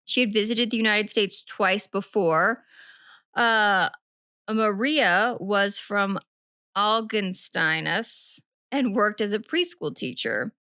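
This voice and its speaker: A woman's voice: mid-range voice, slow pace, bright, forceful tone, no echo. Chatty woman podcast co-host, dry sound. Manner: chatty, rambling, self-correcting. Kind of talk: casual true-crime podcast chat.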